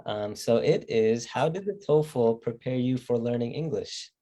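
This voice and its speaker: Young male host, mellow tone, moderates a live-stream Q&A on a video call, reads out questions with a scripted feel and plenty of um's. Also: medium pitch, expressive, a little slow. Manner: scripted, transitional, with frequent um's.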